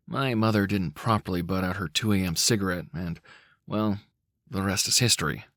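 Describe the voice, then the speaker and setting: Low-voiced man narrating dramatically. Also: fairly bright tone, no room sound, studio quality. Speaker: audiobook-style story narrator. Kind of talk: dramatic scripted narration of a dark short story.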